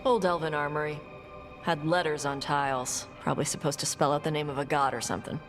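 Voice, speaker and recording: A woman voicing a gruff, clipped dragon hunter. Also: deep voice, steady tone, fairly quick, music in the background, close to an everyday voice. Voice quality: clipped, blunt, dismissive. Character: blunt young dragon hunter, terse and gruff. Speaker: treasure hunter. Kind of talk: video game cutscene dialogue.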